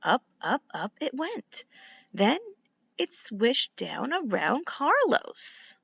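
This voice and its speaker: A woman reading a children's book aloud like a teacher, in an expressive, deliberate and instructive way. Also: a little high, gravelly, breathy edge, no room sound, dull audio. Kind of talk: animated read-aloud narration for young children.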